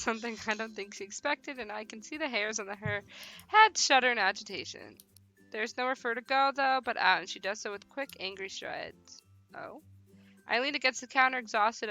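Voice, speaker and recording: A woman's voice: fairly high pitch, bright, forceful tone. A playful, giggly woman streaming a game, chatting casually and reading text aloud. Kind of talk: casual live let's-play chat and read-aloud.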